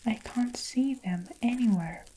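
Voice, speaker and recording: A brave young boy from a storybook, whispered by a woman reader, slightly lifted in pitch. The voice is soft, hushed and mellow, taking its time. A faint noise runs under it. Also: a little slow, lightly acted. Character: brave young boy leading his friends.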